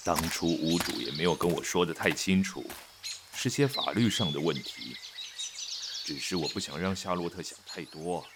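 A man's voice: low voice, lively intonation, normal speed, background noise. A man playing a controlling young nineteenth-century husband in a Mandarin radio drama, hearty, self-assured and patronizing.

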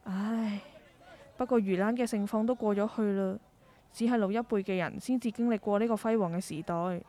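A middle-aged market-stall mother in a radio drama, acted by a woman, talks in Hong Kong Cantonese at a middling female pitch. She is caring and a little world-weary, with a concerned, reflective way of speaking. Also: steady tone, moderate pace, warm tone, close to an everyday voice. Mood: nostalgic. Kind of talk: scripted radio drama dialogue.

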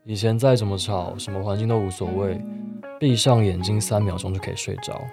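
An actor playing a sensitive, unsettled man around forty in a radio drama, speaking soft, introspective Taiwanese Mandarin. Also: fairly low pitch, steady tone, a little fast, gravelly. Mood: casual, surprised. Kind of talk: radio drama narration and dialogue. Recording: roomy echo, music behind.

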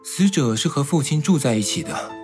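An actor playing a composed, considerate young man in an audio drama, measured and polite. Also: mid-range voice, lively intonation, music in the background, close to an everyday voice. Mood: calm, thoughtful. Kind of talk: Mandarin audio drama acting.

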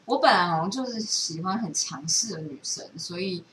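A woman's voice: on the low side, animated, normal speed, a bit bright, echoey room. A woman with a Taiwanese accent chatting casually as a podcast host, thinking aloud in a rambling way. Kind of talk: casual chat. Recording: distant mic with faint background noise.

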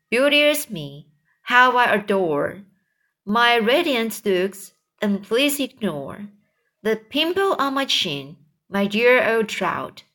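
A vain, cruel aunt from a storybook, boasting and snapping in a raised, bright voice, as a woman reading aloud puts her on. She talks slowly and with a sharp edge. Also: fairly high pitch, voice pushed up, heavily acted.

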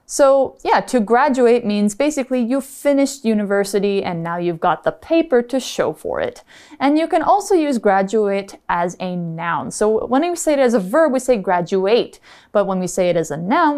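A woman's voice: medium pitch, darkish tone, smooth. A woman co-hosting an English-learning podcast, patiently explaining vocabulary in a casual chat. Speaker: podcast co-host teaching English.

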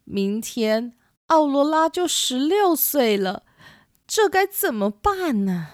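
A woman's voice: high-pitched, very animated, slow, no room sound, clean studio sound, voice pushed up, full character voice. A woman playing a regretful queen and anxious mother, apologetic and self-reproaching, in a children's storybook radio play. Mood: remorseful.

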